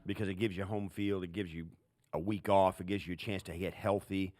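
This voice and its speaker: A man's voice: deep voice, steady tone, fairly quick, a little mellow, no room sound. A man co-hosting a sports podcast, giving conversational football commentary in a colloquial way with emphatic repetition. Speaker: sports podcast co-host, analyst.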